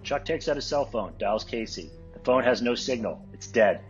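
A man's voice: medium pitch, animated, normal speed, highs cut off, soft background music. A man narrating scene headings and stage directions from a script.

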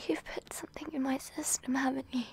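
A woman's voice: high-pitched, level tone, fairly quick, a little mellow. Woman voicing a whispering tearful captive girl. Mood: desperate pleading. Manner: breathy tearful whispering, pleading. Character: frightened young woman student held captive. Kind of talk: audio roleplay drama.

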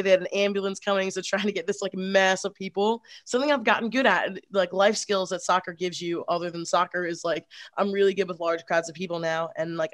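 A young woman chatting on a video call with a headset, telling her story in an energetic, rambling way, full of "like". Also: fairly low pitch, no room sound. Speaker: supporters group organizer. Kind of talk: casual video call chat.

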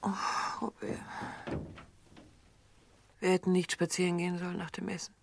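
An actress in a German radio drama playing a distraught, guilt-ridden daughter, breathy and hushed, speaking in an anguished, halting way. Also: female voice, on the low side, level tone, noisy background. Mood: agitated, self-blaming. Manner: anguished, halting, self-reproaching.

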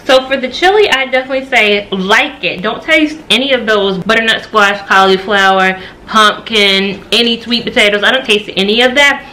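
A woman's voice: a little high, normal speed, pushed and bright, faint music behind, rough recording. An American woman in her thirties chatting informally and slangily to camera as a food vlogger, recorded at home on a camera mic. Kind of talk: casual chat to camera, food review. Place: home dining area and kitchen, indoors.

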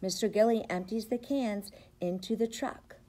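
A middle-aged woman, a preschool teacher, reads a picture book aloud to young children with expressive, rhythmic, sing-song phrasing. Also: medium pitch, normal speed. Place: indoors, school classroom. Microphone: device camera at about arm's length.

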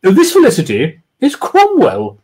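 A self-satisfied office manager of a woman, as a man plays her, brisk and a little evasive. Her voice sits well above his own and swings up and down lively, with a husky edge. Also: high voice, pitched above the performer's own voice, heavily acted. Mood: chatty. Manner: smug, brisk. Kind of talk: storybook reading.

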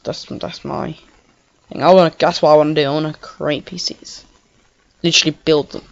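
A woman giving casual, rambling gameplay commentary on a home computer mic, excitable and prone to swearing. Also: low voice, normal speed, creaky. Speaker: gaming video creator. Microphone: home computer microphone. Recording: slightly dull lo-fi mic.

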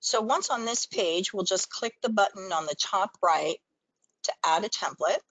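Woman giving a dry-sounding software walkthrough. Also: medium pitch, lively intonation, moderate pace, dry recording, low-quality recording. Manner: explanatory, step-by-step. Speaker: software trainer, webinar presenter. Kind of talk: instructional screen-share walkthrough.

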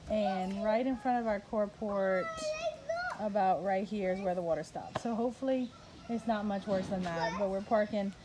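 A woman's voice: medium pitch, moderate pace. A woman, chatting casually to a phone camera as she films around her rural homestead. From Louisiana. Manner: chatty, easygoing, talks to her kids and pets. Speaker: homesteader vlogger. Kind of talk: casual vlog narration. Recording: noisy outdoor phone audio.

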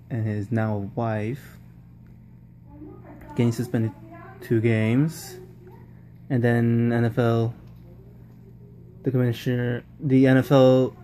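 A man's voice: medium pitch, dark tone, a little hiss or hum. A man giving casual, rambling post-game football commentary, often repeating himself and restarting sentences. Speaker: football vlogger.

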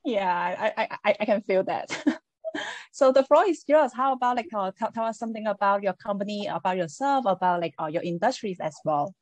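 A woman's voice: mid-range voice, expressive, brisk, darkish tone, no room sound. A woman hosting an online business mixer over a video call, friendly and casual with many fillers. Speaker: event host.

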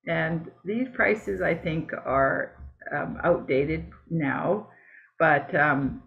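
Halting woman with a gravelly voice, tinny call audio. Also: low-pitched. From Canada. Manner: halting and conversational, with many fillers and restarts. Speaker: beekeeping presenter. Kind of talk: slide presentation over a video call. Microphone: video call.

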